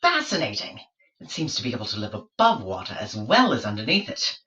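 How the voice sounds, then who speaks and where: A pompous colonial explorer, voiced by a storyteller, pesters a creature with nervous excitement. The voice is bright and quick to jump up in pitch. It sounds roomy and a bit distant. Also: lo-fi sound. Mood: fussy. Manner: fussy, excitable. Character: pompous English explorer-scientist.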